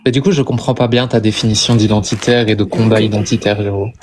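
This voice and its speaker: A man's voice: on the low side, steady tone, quick pace, noisy background. A man taking part in a casual online debate, asking playful, faltering questions. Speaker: debate participant.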